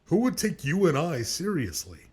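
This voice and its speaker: A man playing a defensive, aggrieved loudmouth in a scripted web cartoon, whiny and argumentative. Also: a little high, huge pitch swings, normal speed, slightly rough, exaggerated voice. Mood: doubtful, sulky.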